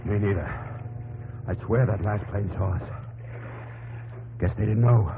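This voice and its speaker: A man's voice: on the low side, steady tone, a little slow, gravelly. An actor playing a worn-out, grimly resigned stranded serviceman in a 1950s radio drama. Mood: weary resignation. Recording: noisy narrow-band vintage radio broadcast.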